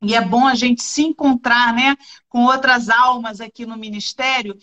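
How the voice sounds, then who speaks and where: High, bright woman telling a quick story. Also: steady tone, highs cut off. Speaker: host and instructor. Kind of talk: livestream chat.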